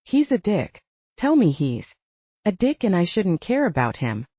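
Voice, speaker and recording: A female narrator plays a heartbroken young werewolf woman in a romance audiobook. She is stubborn and bitter, with lively swings of pitch in a softened, slightly dull recording. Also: a little slow, no echo, heavily acted. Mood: tearful longing. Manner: foul-mouthed, bitter. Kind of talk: audiobook romance character acting.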